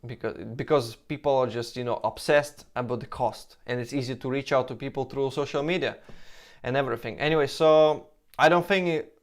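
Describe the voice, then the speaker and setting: A man from Lithuania, whose first language is Russian, talks in accented English. His pitch sits in a middle range, and his delivery is casual and halting, full of restarts, "like" and "you know". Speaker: hair transplant Q&A host. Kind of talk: casual Q&A answering viewer questions.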